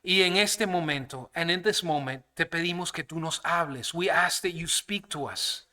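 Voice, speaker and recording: Guest preacher, man from Canada, high and bright, earnest bilingual delivery, church sanctuary. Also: a little slow. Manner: alternates each phrase between two languages, earnest. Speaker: guest pastor. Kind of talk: bilingual sermon and prayer.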